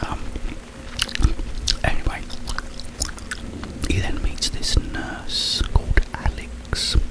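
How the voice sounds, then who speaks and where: A man speaking in a breathy whisper, rambling informally through a story in ASMR style. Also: low-quality recording. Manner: slow, halting, informal storytelling. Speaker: ASMR content creator.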